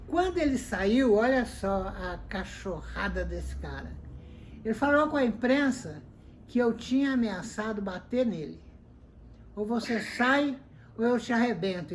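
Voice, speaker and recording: A woman's voice: on the high side, a little hiss or hum, low-quality recording. An older woman from Brazil, a former football referee, telling stories in conversation and acting out both sides of the quoted exchanges. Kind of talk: conversational storytelling.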